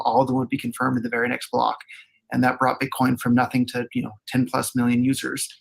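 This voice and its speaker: A man with a gravelly, flat-toned voice makes his case in an old recorded debate. He speaks earnestly and leans on repetition to stress his points. The audio is played back from an archived clip. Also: mid-range voice, rough recording. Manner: earnest, repeats phrases for emphasis. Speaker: debate participant. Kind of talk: arguing a position in a recorded debate.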